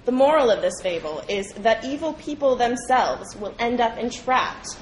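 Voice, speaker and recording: A woman reads a story aloud at a live event. Her voice sits slightly high, and a faint noise runs behind the scripted narration. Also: expressive, normal speed, rough recording. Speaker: reader at a public read-aloud. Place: live reading event before an audience.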